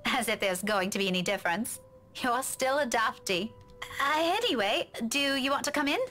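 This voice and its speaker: An actress with a British accent playing a feisty, caring young woman in a visual novel, direct and expressive. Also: on the high side, normal speed, soft background music. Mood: teasing. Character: feisty, caring young woman teasing her old friend.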